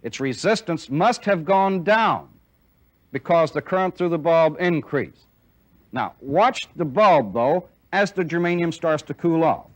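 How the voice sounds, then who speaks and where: A middle-aged American man giving a technical lecture as a military instructor, deliberate and methodical, stressing key points by repeating them. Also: fairly high pitch, animated. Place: indoor training film classroom studio with chalkboard and desk.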